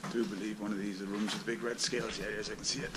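A man vlogging as he explores a derelict hospital, talking casually and excitedly to his viewers. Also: on the low side, racing. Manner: chatty, excitable, addresses viewers directly. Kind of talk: casual walkthrough narration. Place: inside a derelict hospital building. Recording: loud background noise.

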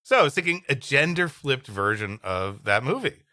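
A man's voice: medium pitch, very animated, normal speed, bright, forceful tone, no room sound, clean studio sound. A man co-hosting a comedy podcast, bantering and reading stories. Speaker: podcast co-host. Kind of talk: comic banter and story reading.

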